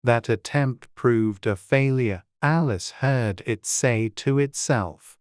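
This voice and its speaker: A man narrating a classic children's story as an audiobook reader, measured and gentle. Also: medium pitch, no room sound, studio quality.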